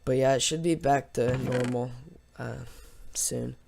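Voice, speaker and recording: A man with a slightly hoarse voice giving casual, rambling, unscripted let's play commentary as host of a gaming video. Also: medium pitch, fairly even intonation, unhurried, noisy background. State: slightly hoarse after losing voice from yelling.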